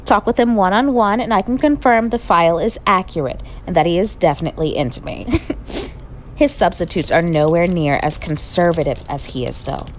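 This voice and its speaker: A woman's voice: fairly low pitch, moderate pace, a little hiss or hum, lightly acted. Confident, cheeky teenage girl researcher acted by a woman. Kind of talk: amateur radio drama acting.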